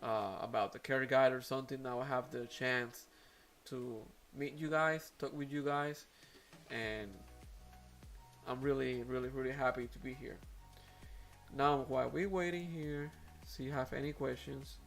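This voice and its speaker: A man's voice: medium pitch, steady tone, a little slow, creaky, a bit bright, soft background music. A man whose first language is Spanish, speaking English with a Spanish accent as host of an aquarium live stream, talking casually with many fillers and restarts.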